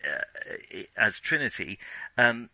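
A man's voice: lowish voice, very animated, takes it slowly, creaky, pushed and bright, no room sound, rough recording. A man co-hosting a casual film discussion, thinking aloud in a hesitant way. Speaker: podcast co-host.

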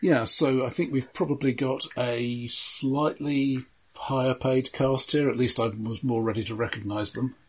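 A man's voice: mid-range voice, fairly even intonation, unhurried, a little mellow, slight background noise. A man co-hosting a podcast, discussing films in a casual, conversational way. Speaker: podcast co-host. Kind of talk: casual film review chat.

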